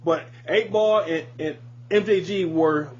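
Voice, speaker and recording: High-voiced, slangy man chatting. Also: measured pace, a little mellow. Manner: slangy, profane, emphatic opinions. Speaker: podcast co-host. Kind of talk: casual music discussion. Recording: dull lo-fi audio, audible background noise.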